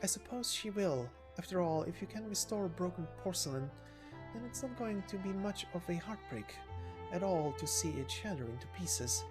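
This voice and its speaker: A woman's voice: on the low side, expressive, moderate pace, over music, lightly acted. A woman voicing a playful, mocking schemer from a game story, musing and taunting. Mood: cool musing.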